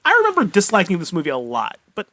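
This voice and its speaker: A man with a lively, bright voice on the higher side, recorded close and dry. He co-hosts a podcast and tells casual, informal anecdotes, quoting little exchanges as he goes. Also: fast, no room sound. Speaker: podcast co-host. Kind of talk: casual chat.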